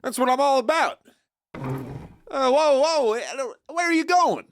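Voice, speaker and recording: A man's voice: very high voice, huge pitch swings, takes it slowly, gravelly, background noise, heavily acted. A man playing a sly, cocky Old West outlaw who narrates his own tale in a folksy, teasing, conversational way. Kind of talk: first-person storytelling narration in audio drama. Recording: loud music under the voice.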